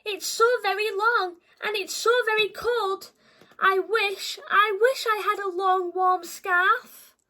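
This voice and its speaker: A woman's voice: extremely high pitch, steady tone, slow pace, sharp tone, pitched above the performer's own voice, exaggerated voice. A woman voicing a sad, shivering storybook giraffe in a plaintive, whimpering manner. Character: sad, shivering giraffe with a long cold neck.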